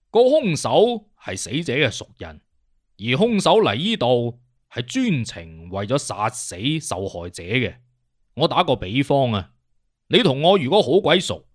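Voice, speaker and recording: A man's voice: mid-range voice, no room sound, clean studio sound. A man playing a witty, conceited detective consultant in an audiobook, with a Cantonese accent and an emphatic, lecturing, self-assured manner. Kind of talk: audiobook character acting.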